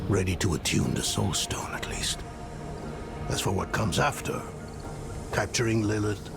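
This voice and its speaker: An actor playing an earnest, weathered mage in a dark fantasy game, solemn and resolute. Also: male voice, on the low side, vocal fry. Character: earnest, weathered male mage of a secret order.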